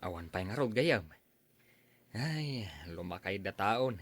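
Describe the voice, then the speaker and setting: A man's voice: lowish voice, lively intonation, slow pace, fairly bright tone, no echo, full character voice. A man playing an easygoing, chatty bachelor drinking buddy in an audio drama. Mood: friendly, relaxed. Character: unmarried drinking buddy back from working abroad. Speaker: voice actor in a drama. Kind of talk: Ilocano audio drama acting.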